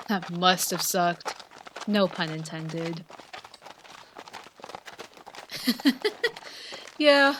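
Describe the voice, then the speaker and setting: A woman's voice: medium pitch, fairly bright tone, slight background noise. A woman playing a seasoned, friendly vampire hunter who mentors a newcomer in a conversational, teasing way in a fantasy audio roleplay. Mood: playful, teasing. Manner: conversational, explanatory, playful teasing. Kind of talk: scripted fantasy audio roleplay addressed to the listener.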